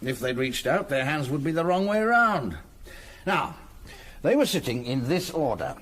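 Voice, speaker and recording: An actor with a British accent playing a shrewd, patient senior police detective in a radio drama, with a measured, probing and authoritative manner. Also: male voice, a little high, big pitch swings, a bit bright. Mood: deducing, assured.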